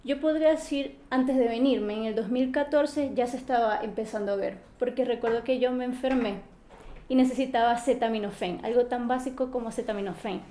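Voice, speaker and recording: Young Venezuelan woman with a mellow voice. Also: on the high side, a little fast, echoey room. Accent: Venezuelan, Maracaibo. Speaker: interviewee. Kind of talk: interview.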